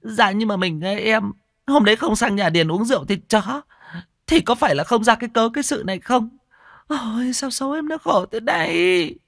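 A man's voice: high-pitched, expressive, moderate pace, vocal fry, a bit bright, no echo, clean studio sound, pitched above the performer's own voice, heavily acted. A man voicing a weepy, whining middle-aged village wife in a told ghost story. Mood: wailing. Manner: wailing, whiny pleading.

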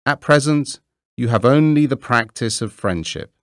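A man's voice: medium pitch, lively intonation, moderate pace, no room sound, clean studio sound, pitched above the performer's own voice, close to an everyday voice. A man doing a smug, preachy miller. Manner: lecturing, self-righteous.

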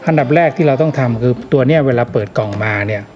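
A man's voice: medium pitch, very fast, a little mellow. A man giving a casual hands-on tutorial, instructing informally step by step and repeating key points. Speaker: product demonstrator giving a tutorial. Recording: music playing behind the voice. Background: clearly audible background music.

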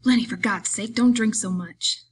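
A woman playing a small, irritable, weary male migrant worker in an audiobook, scolding in rough, rustic speech. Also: lowish voice, moderate pace, bright, forceful tone. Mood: alarmed, sharp.

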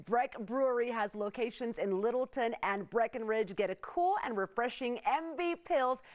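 A woman TV host delivers a scripted introduction on a studio set. Her voice sits slightly high for a woman, and her reading is smooth and polished. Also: normal speed. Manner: smooth, bright presenter delivery. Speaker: TV show host.

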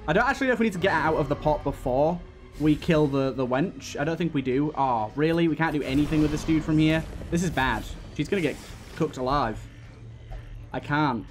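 A man's voice: on the high side, brisk. A man hosting a let's play, giving running commentary on a game. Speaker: gaming video host. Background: game music.

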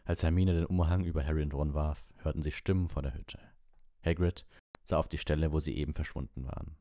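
Male storybook narrator: extremely low pitch, dark soft tone, close dry sound. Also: steady tone, a little fast, dry recording. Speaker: audiobook reader.

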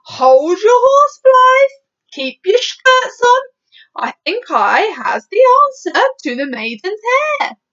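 A woman plays a big friendly storybook giant, eager and excitable. His pitch swoops about and his grammar is merrily mangled. The recording sounds slightly dull. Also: normal speed. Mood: excited. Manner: muddled grammar and mangled words.

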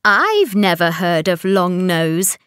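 An old mountain crone from a fairy tale acted by a woman narrator. She gives her advice slowly and deliberately, with a lively, swinging pitch. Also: clean tone, no echo, clean studio sound, heavily acted. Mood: helpful.

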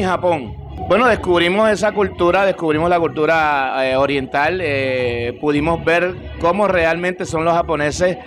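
A man's voice: on the high side, a bit bright. A man with a Puerto Rican accent, a singer talking casually in an interview on a handheld microphone. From Puerto Rico. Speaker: salsa singer being interviewed. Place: indoor room at a nightclub event.

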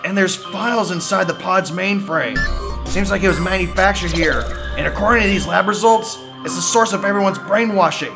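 A creaky grumpy old scientist grandpa, cartoon style, music playing under it. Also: male voice, sits high, moderate pace. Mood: gruff, weary. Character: frantic, gruff scientist grandfather and anxious teenage grandson. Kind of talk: fan-made audio drama acting. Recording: voice over loud music.

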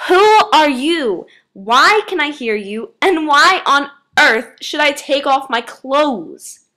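A woman's voice: high voice, lively intonation, normal speed, fairly bright tone, pitched above the performer's own voice. A woman voicing a startled, indignant sixteen-year-old girl in a story, exclaiming in an incredulous, panicky way.

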